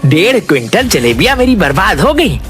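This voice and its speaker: A man's voice: high voice, big pitch swings, normal speed, fairly bright tone, soft background music. A man voicing a greedy, scornful father who sells sweets in a village, in a Hindi cartoon. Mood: angry, scornful. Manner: grumbling, scornful. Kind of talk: Hindi moral-story cartoon acting.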